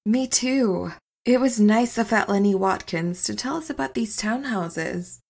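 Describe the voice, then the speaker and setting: Female actor as nonchalant superstrong wife, teasing, breezy, medium pitch, audio drama. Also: a little slow, creaky, darkish tone. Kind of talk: audio comedy drama acting.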